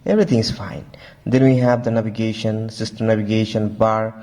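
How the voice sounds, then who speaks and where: A man giving a casual, halting walkthrough as a tech reviewer, often repeating stock phrases. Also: lowish voice, steady tone, dark tone. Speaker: tech reviewer, video host.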